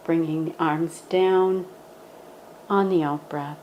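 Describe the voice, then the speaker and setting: Slow, calm woman guiding yoga. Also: low voice, highs cut off, slight background noise. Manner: calm, gentle, instructive. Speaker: meditation and yoga guide. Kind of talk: guided yoga meditation.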